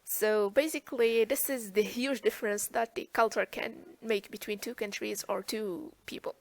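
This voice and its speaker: A woman's voice: mid-range voice, steady tone, moderate pace, no echo. A woman from eastern Algeria with an Algerian accent, hosting a webinar conversation as moderator and English teacher. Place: online video call.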